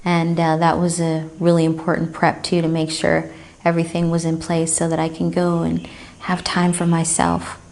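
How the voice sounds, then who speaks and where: A woman speaks with a lowish voice in a flat, even tone. She talks steadily with "um" and "like" fillers. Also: moderate pace, gravelly. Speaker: retreat participant. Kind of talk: documentary testimony.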